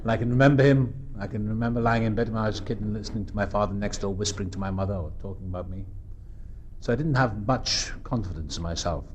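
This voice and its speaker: Low-voiced Welsh man, earnest and reflective. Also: a little hiss or hum. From South Wales. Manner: earnest and reflective, with pauses and restarts. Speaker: recovery meeting speaker. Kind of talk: personal recovery talk. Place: meeting room.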